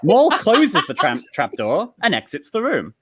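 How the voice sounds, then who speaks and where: A man's voice: sits high, huge pitch swings, measured pace, fairly bright tone, slightly rough. A man narrating stage directions from a script at a live comedy table read.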